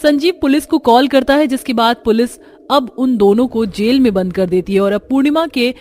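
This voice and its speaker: A woman's voice: a little high, normal speed, a little mellow. A woman narrating a scripted cartoon story as its storyteller.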